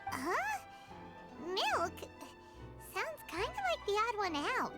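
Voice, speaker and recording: Tiny cheeky game fairy: extremely high, squeaky, bouncy intonation, childlike chatter. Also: female voice, huge pitch swings, slow pace, full character voice. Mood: chatty, puzzled. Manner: perky, speaks of herself in third person. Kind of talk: video game voice acting. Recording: game music under the voice.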